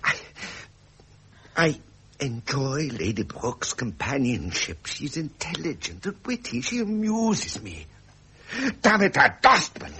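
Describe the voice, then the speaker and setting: An actor playing a pleasure-loving, entitled British prince in a period radio drama, with a pompous, theatrical upper-class British delivery. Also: male voice, fairly high pitch, sing-song, takes it slowly, bright, forceful tone, slightly rough, full character voice. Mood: indignant. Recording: noisy vintage radio recording.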